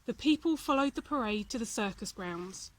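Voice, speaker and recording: A woman reading a children's storybook aloud in an expressive narrating style. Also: a little high, moderate pace. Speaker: volunteer storybook reader.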